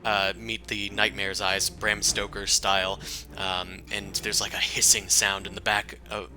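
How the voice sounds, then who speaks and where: A man narrating as game master in a tabletop game, chatty and relaxed with many fillers. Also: fairly low pitch, measured pace, faint music behind.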